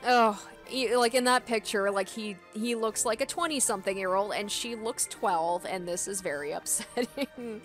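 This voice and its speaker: A woman narrating a visual novel aloud as a let's player, expressive, with casual commentary. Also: mid-range voice, normal speed, hardly any pauses. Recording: background music under the voice. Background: game music.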